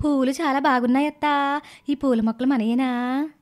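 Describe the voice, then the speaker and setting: A girlish, high-pitched young niece , voiced by a woman, from a cartoon moral story. She is silly and stubborn, arguing and whining in a petulant way. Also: fairly even intonation, moderate pace, natural voice. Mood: cheeky.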